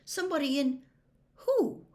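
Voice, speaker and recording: Female reader as teenage schoolboy, storybook hero. Earnest, blunt, insistent, very animated pitch. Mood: urgent questioning. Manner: insistent, quick questioning. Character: earnest, urgent, blunt teenage schoolboy questioning his teacher.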